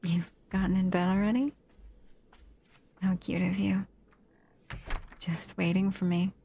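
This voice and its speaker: A woman acts out a doting, possessive female monster in an intimate audio roleplay. Her voice is lowish and gravelly with fry, often breathy and close to a whisper. She takes her time, lingering softly over her words as a protective lover would. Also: little pitch movement, slight background noise. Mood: cozy, intimate. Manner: tender, unhurried, doting murmur.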